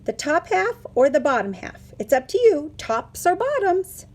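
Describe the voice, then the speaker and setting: A woman's voice: high voice, very animated, unhurried, a little mellow, pitched above the performer's own voice. A woman voicing a clever, eager male hare from a storybook, persuasive and salesman-like. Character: clever, eager, fast-talking male hare, a smooth dealmaker.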